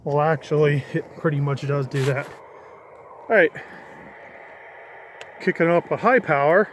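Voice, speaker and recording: A middle-aged man with a somewhat high-pitched voice talks casually through a hands-on demo. He is folksy and informal, working things out aloud. The amateur recording carries some noise behind him. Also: measured pace. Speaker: hobbyist vlogger demonstrating a CB radio. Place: in or beside a parked vehicle. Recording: clearly noisy handheld amateur recording.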